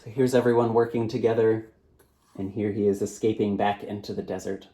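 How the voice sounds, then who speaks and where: A man reading a storybook aloud as its author, in a dramatic, measured narrating style. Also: on the low side, level tone, dark tone.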